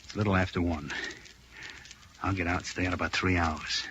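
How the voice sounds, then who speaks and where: A man's voice: low voice, fairly even intonation, brisk, muffled recording, natural voice. An actor playing an urgent, bossy gunman on the run in an old radio crime drama, clipped and slangy. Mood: urgent, commanding. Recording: noisy old radio recording.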